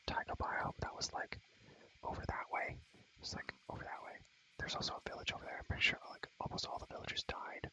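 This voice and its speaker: A young man whispering rambling ASMR commentary on a game in a breathy voice, repeating phrases over and over with playful, slangy asides. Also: poor audio. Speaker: ASMR gaming creator.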